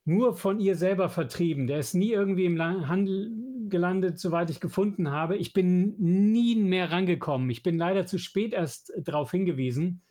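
Higher-voiced man chatting as podcast co-host. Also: moderate pace, few pauses, mellow. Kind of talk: casual chat about audio dramas and films.

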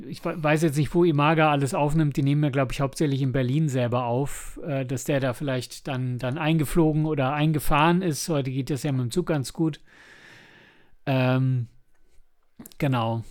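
A man's voice: on the high side, a little mellow. A man co-hosting a podcast, discussing and reviewing in a casual, rambling way as he searches for words. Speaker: podcast co-host and audio drama maker.

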